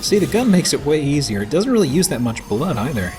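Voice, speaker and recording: A man giving casual video game commentary as he plays, with halting, hesitant phrasing. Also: a little high, very animated, a little mellow. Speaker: let's player. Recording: game music behind the voice. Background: video game music.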